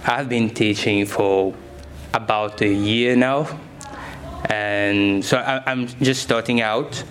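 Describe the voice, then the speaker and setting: A man's voice: lowish voice, steady tone, slow pace, noisy background. A young man, answering interview questions as a science teacher in simple, enthusiastic phrases.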